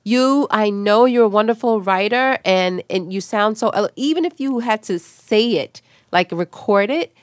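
A woman's voice: medium pitch, moderate pace, hardly any pauses, dry recording, clean studio sound. A woman hosting a podcast in a casual, effusive, informal conversational style. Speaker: podcast host.

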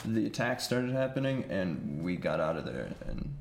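A man's voice: sits low, sing-song, normal speed, gravelly, a little hiss or hum, voice pushed down, heavily acted. A man voicing a worried, fretful short male elf mine chief who pleads for help, recorded in an echoey room.

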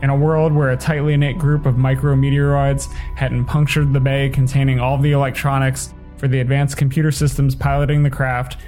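A man with a medium-pitched voice narrates his short story from a script. Soft music sits faintly beneath the reading. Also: flat delivery, a little fast, few pauses.

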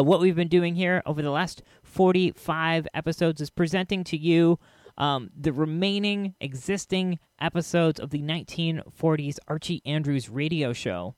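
A man hosting a podcast, casually introducing material in a halting but enthusiastic way. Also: on the high side, no echo. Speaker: podcast host. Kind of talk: casual introduction to an old radio show.

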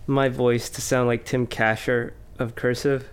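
A man's voice: mid-range voice, flat delivery, measured pace, clean tone. A man co-hosting a podcast in casual chat, easygoing and reflective. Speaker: podcast co-host.

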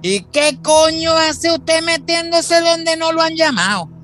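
A man playing a gruff, threatening old Venezuelan rancher father in a radio soap opera. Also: very high-pitched, expressive, slow, fairly bright tone, faint music behind, full character voice. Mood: furious. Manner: gruff, cursing, bossy.

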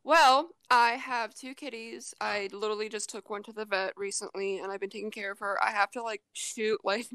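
A woman's voice: mid-range voice, steady tone, normal speed, few pauses, bright, forceful tone, clean tone, dry recording. A woman in her twenties chatting as a podcast guest, rambling and giggling with lots of fillers. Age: 20s. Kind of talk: casual chat.

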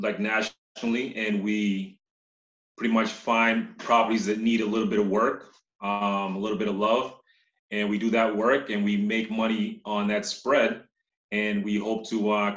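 Low-voiced man in an echoey room. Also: flat delivery, normal speed, a bit bright. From Uganda, moved to Massachusetts as a child. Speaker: interview guest, company CEO. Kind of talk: casual conversational storytelling. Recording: echoey room, dull muffled sound.

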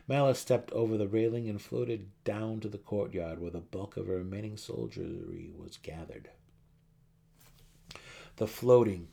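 Theatrical low-voiced male audiobook narrator. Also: darkish tone. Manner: theatrical, emphatic.

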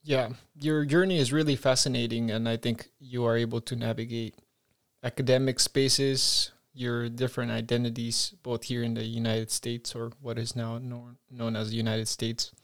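Gravelly-voiced male podcast host. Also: mid-range voice, level tone, normal speed. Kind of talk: interview questions.

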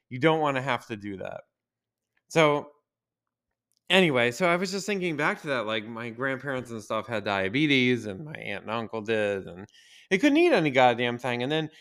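A man's voice: mid-range voice, fairly bright tone. Stuffy-voiced man hosting a podcast. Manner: rambling, crude, jokey. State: stuffy with a cold. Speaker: podcast host. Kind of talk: casual solo podcast monologue.

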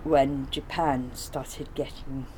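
A woman's voice: very deep voice, steady tone, slow, a little mellow, a little hiss or hum, low-quality recording. A woman in informal conversation, explaining and recollecting in a rambling way. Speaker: artist being interviewed.

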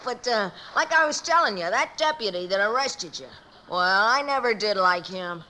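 A sharp, bright young American boy, eager and cheeky. He talks earnestly and full of exclamation in 1950s radio western style. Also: mid-range voice, animated, normal speed, a little hiss or hum, poor audio. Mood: earnest, confiding.

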